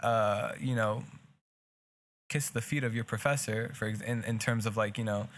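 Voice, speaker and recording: A man's voice: fairly low pitch, steady tone, moderate pace, fairly bright tone, slight background noise. A young man with a Hebrew accent, a native Hebrew speaker, co-hosting a casual podcast and talking earnestly in long, rambling stretches full of restarts. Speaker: podcast co-host.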